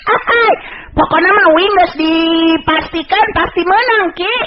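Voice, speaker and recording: A man's voice: extremely high pitch, measured pace, muffled recording, noisy background, pitched above the performer's own voice. A male storyteller voicing a brash, boastful teenage boy fighter in an old radio tale, cocky and whiny.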